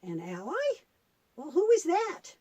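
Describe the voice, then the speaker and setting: Woman voicing a curious boy puppet, lively and high. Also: unhurried, heavily acted. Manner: inquisitive, short questioning interjections. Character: curious young boy puppet, Granny's eager grandson. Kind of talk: children's puppet story dialogue.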